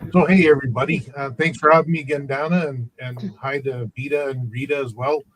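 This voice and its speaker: A man's voice: mid-range voice, fairly even intonation, a little fast, poor audio. A man in his fifties from Canada speaking casually as a livestream guest. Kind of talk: casual self-introduction.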